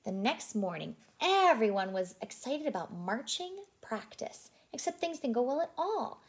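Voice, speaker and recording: A woman reading a picture book aloud to children in an expressive way, as a childcare teacher who puts questions to her listeners. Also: on the high side, a little slow.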